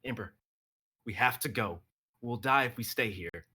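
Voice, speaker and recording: A man's voice: medium pitch, moderate pace, sharp tone, slightly rough. A young man playing a grim, vengeful masked ninja hero in a story reading, with terse, intense delivery. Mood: firm, urgent.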